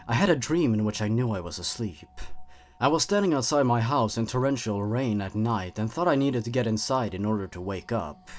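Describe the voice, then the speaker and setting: A man reads horror fiction as a storyteller, with a voice on the low side. His pitch moves widely as he goes, giving the scripted narration a theatrical, suspenseful feel.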